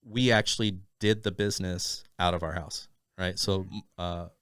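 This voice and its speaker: A man with a very low voice telling his story as a podcast guest. He rambles in easy conversation, full of "you know" and restarts. The sound is close and dry, with no room echo. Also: steady tone, moderate pace, fairly bright tone, smooth. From Thailand, raised in Arizona. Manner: rambling, many fillers and restarts. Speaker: podcast guest, business owner.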